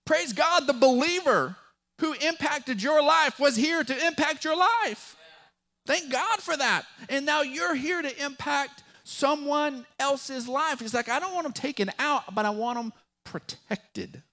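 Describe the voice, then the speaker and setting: A man with a West Texas drawl preaching a sermon as pastor, folksy and animated, with a touch of humor. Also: extremely high pitch, unhurried.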